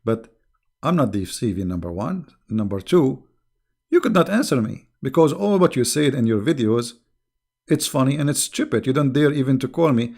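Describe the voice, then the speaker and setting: A man, a native Arabic speaker, talking casually to his viewers as an online religious debater, with an animated, mocking and scornful manner. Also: mid-range voice, normal speed, creaky.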